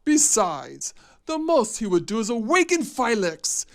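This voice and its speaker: A man playing a gloating villain who schemes to rule the world, in a theatrical, drawn-out delivery for a game cutscene. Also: extremely high pitch, very animated, gravelly, exaggerated voice. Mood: smug. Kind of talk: fan game cutscene voice acting.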